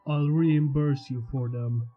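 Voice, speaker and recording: A man's voice: fairly even intonation, takes it slowly. Dull lo-fi recording, guy playing a chill protective skeleton, serious but reassuring, music behind. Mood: serious, firm. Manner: reassuring, low-key. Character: calm, protective, quietly serious male skeleton. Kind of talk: acted fan fiction reading. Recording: dull lo-fi sound, faint music.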